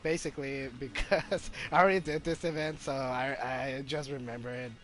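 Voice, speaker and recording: A man's voice: mid-range voice, fairly even intonation, normal speed. A man giving casual live gameplay commentary, hesitant and thinking aloud as he goes. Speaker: game streamer. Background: game music.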